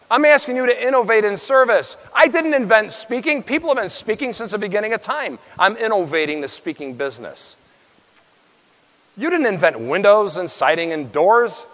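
A man's voice: high-pitched, a bit bright. A middle-aged American man giving a motivational business keynote on stage, emphatic and theatrical, hammering points home with punchy repetition. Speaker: keynote speaker. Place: on stage in large conference halls. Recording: reverberant hall sound system.

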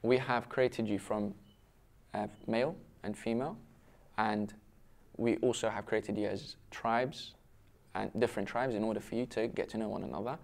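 A man's voice: on the low side, steady tone, moderate pace. A young man from Syria and the UK speaks in an earnest, self-questioning way in a casual round-table discussion. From raised in Syria until twelve, then the UK. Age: young adult. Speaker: panel discussion participant. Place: indoor restaurant-style set with brick walls, seated at table. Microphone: lapel mic.

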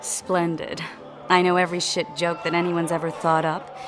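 Woman playing a wry game heroine, lowish voice, dry n playful, music behind. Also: little pitch movement, normal speed, natural voice. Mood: wry, teasing. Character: wry, caring female companion of soldiers. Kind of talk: video game character acting.

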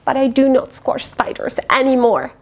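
A middle-aged woman reading aloud to young children, expressive and animated. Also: high voice, normal speed. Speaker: children's storyteller. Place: small fabric play tent indoors.

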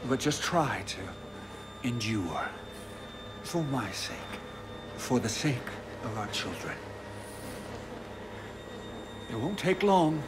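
Sickly tender deranged killer, swooping voice. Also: male voice, mid-range voice, big pitch swings, slow, heavy background noise. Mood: coldly tender. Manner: courtly, crooning, menacingly gentle. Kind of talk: dramatic video game acting.

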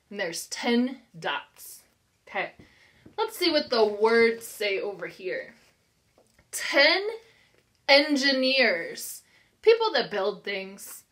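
American lady teacher doing a read-aloud for little kids, really slow, very up and down pitch, encouraging. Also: a bit bright. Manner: simple, encouraging, instructional, with deliberate enunciation. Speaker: preschool teacher. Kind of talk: read-aloud counting lesson for young children.

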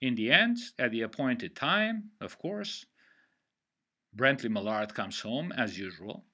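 An older man from Romania with a Romanian accent, giving an online literature lecture over a headset on a video call from home, in a measured, deliberate way. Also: mid-range voice, very animated, bright, forceful tone, breathy edge. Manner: measured, deliberate explaining with pauses. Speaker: literature teacher. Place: home room.

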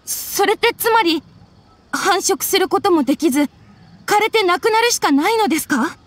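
A woman's voice: very high-pitched, animated, normal speed, dry recording. A woman voicing an earnest, polite young woman in a Japanese anime game, grieving and formal in speech. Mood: shocked, hurt. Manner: polite, soft-spoken formal phrasing. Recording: studio voice over game music.

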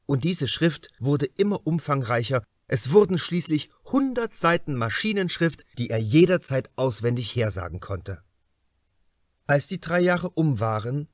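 Very lively male audiobook narrator. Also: mid-range voice, no echo, clean studio sound. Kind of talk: scripted narration of a crime novel.

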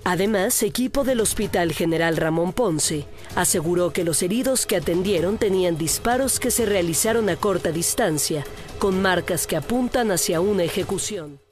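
A woman's voice: on the low side, moderate pace, darkish tone. A woman with a Mexican accent reading scripted documentary narration for a video news report. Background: music.

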